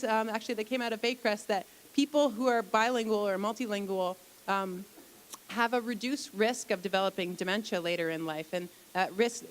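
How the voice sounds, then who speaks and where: A woman speaking at a lecture question-and-answer session in a library atrium. She explains things step by step, often repeating a word before going on. Her pitch sits in a middle range. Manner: explanatory, with frequent restarts and fillers. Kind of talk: Q&A after a public lecture.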